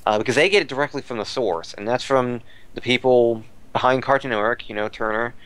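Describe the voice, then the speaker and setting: A man's voice: medium pitch, fairly quick, a bit bright, clean tone. A man talking casually as a podcast commentator about fan news, rambling and repeating phrases as he searches for words.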